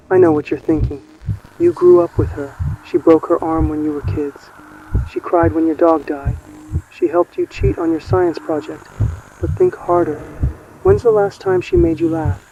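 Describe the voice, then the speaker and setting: A man narrating a scripted horror emergency broadcast in a grave, ominous, measured way. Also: on the high side, noisy background.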